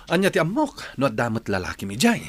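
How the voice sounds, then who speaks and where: An actor playing a jealous, domineering husband who bosses and scolds in a radio comedy drama. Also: male voice, mid-range voice, huge pitch swings, fast talker, no room sound. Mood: stern, angry. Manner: bossy, scolding, demanding. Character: domineering, jealous husband and businessman, harsh household master.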